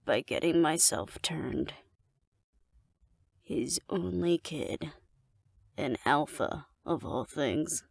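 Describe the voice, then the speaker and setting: Woman playing a flirty, gravelly alpha werewolf woman. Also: low-pitched, very slow, long pauses, darkish tone, dry recording, studio quality, full character voice. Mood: wry, wistful. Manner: teasing, coaxing, playfully domineering. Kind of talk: intimate scripted audio roleplay addressed to the listener.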